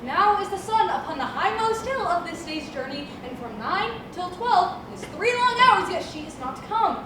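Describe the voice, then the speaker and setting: Actress as eager young heroine of a Shakespeare romance; emphatic, coaxing, very high voice, heard at a distance in a reverberant space. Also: female voice, expressive, moderate pace. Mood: impatient longing. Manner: theatrical, pleading, emphatic declamation. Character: eager, impatient lovestruck young noblewoman. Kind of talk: Shakespearean stage acting. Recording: distant, very echoey room with background noise.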